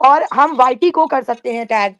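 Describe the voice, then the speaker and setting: A woman hosts a live panel in a high voice. Her delivery is casual and conversational as she moderates the other speakers. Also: normal speed, a bit bright, highs cut off.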